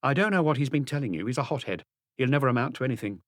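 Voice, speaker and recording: A man's voice: medium pitch, lively intonation, racing, fairly bright tone, dry recording, clean studio sound, pitched above the performer's own voice, lightly acted. A man voicing a wary, defensive woman with a curt, dismissive manner in a mystery audiobook.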